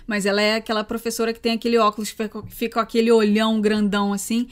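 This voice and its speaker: Chatty woman, slightly high voice. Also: flat delivery, racing. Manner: chatty, trailing, searches for words. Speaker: podcast co-host. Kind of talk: casual film review chat.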